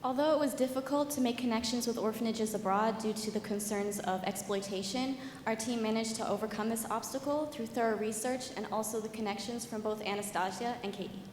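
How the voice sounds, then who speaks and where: Higher-voiced young woman in an echoey hall. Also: a little fast, hardly any pauses, darkish tone. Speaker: student presenter pitching a venture. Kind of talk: rehearsed formal pitch. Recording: echoey hall, faint noise.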